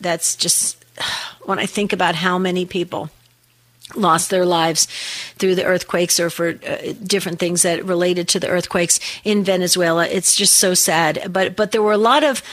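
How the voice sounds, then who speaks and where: Woman radio host, earnest and chatty, a bit raspy, close dry studio sound. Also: fairly low pitch, steady tone, dry recording. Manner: earnest and warm-hearted, quick with fillers and restarts. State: slightly raspy voice from a long day on air. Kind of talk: live call-in radio prayer show. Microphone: studio microphone.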